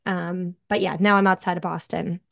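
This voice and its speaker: A woman's voice: lowish voice, level tone, moderate pace, vocal fry. A woman from upstate New York with that region's accent, telling her life story as a podcast guest in a chatty way full of "like" and "um". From upstate New York, USA. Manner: chatty, many fillers like "like" and "um". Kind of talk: casual interview chat.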